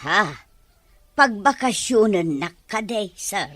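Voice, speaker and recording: A woman's voice: medium pitch, expressive, slow, fairly bright tone, no echo. A woman playing a humble older household helper in an audio drama, deferential in manner, with an Ilocano accent. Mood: surprised, deferential. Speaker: drama performer.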